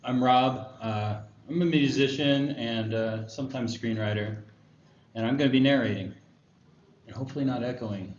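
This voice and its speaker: Halting man in an echoey room. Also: mid-range voice, darkish tone. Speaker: musician and screenwriter. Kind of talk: casual self-introduction. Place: echoey indoor room with a group. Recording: dull echoey room, faint noise.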